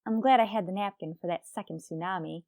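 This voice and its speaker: Wry, deadpan radio comedy schoolteacher played by an actress. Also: female voice, fairly low pitch, fast talker, no room sound, close to an everyday voice. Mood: urgent. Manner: deadpan, sarcastic asides. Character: wry, lovelorn female schoolteacher with dry wit. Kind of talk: old-time radio situation comedy acting.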